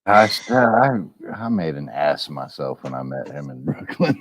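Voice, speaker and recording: Deep-voiced American man chatting. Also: animated, normal speed, very noisy. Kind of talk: casual chat, anecdotes.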